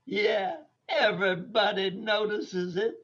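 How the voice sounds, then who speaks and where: A man's voice: high-pitched, sing-song, slow pace, creaky, fairly bright tone, heavily acted. An actor playing a brash, flirtatious, conceited male bandleader with a Southern accent in a radio comedy. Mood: cocky. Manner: jive-talking, cocky. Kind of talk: 1940s radio comedy acting. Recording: dull online radio-play recording.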